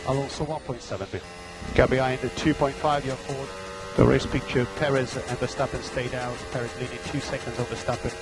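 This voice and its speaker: A man's voice: medium pitch, flat delivery, a little fast, poor audio. A man race engineer reading out gaps, lap times and instructions to his driver over team radio. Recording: team radio with music behind.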